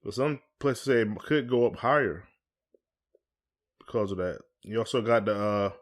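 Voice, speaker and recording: A man's voice: lowish voice, normal speed. A man co-hosting a podcast in casual chat, asking questions in informal, hesitant phrasing. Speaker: podcast co-host.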